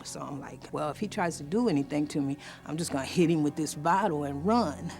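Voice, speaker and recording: A woman's voice: deep voice, a little fast, music in the background. A woman with an American accent, recounting events casually and vividly in an interview, in colloquial speech. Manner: colloquial, vivid retelling with quoted thoughts. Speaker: interviewee, attack survivor.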